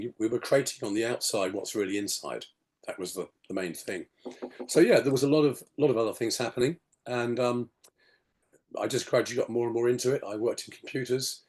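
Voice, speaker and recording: A man in his sixties with a gravelly, slightly hoarse voice, rambling through his story on a video call with many "you know"s. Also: medium pitch, a little mellow. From England. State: hoarse after a detox. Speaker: podcast guest. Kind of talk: casual storytelling interview.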